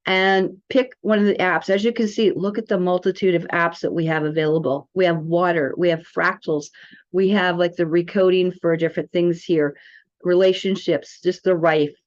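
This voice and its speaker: A woman's voice: lowish voice, steady tone, moderate pace. Chatty woman, dull lo-fi sound. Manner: chatty, informal, rambling. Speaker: presenter demonstrating a wellness app. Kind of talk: casual product tutorial. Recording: dull, lo-fi audio.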